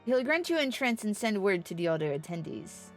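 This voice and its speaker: A woman's voice: medium pitch, big pitch swings, a little fast, faint music behind. A woman voicing a gracious, welcoming knight lord from a fantasy game, speaking in a courtly, florid way. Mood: gracious, courteous.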